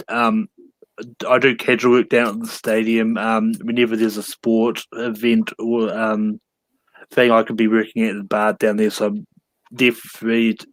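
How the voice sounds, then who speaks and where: Male, New Zealand accent, medium pitch, hesitant casual talker, very dry recording. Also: no room sound, rough recording. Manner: hesitant, halting, frequent ums. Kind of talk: casual chat.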